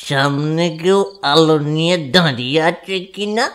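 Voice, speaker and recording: A woman's voice: low-pitched, normal speed, lightly acted. A woman voicing a drunk, sentimental middle-aged married man in a cartoon comedy, muddled and drawling. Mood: tipsy, easygoing.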